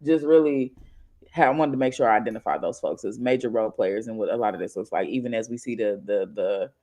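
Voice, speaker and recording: A man co-hosting a casual political chat show, talking in animated, slangy bursts that rise to loud exclamations. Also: lowish voice, fast talker. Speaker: podcast co-host.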